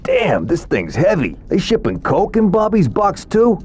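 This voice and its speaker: A man's voice: high voice, wildly swooping pitch, a little slow, darkish tone, faint music behind, full character voice. An actor playing a harried mobster son trying to manage his family in a video game. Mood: grumbling. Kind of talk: video game cutscene acting.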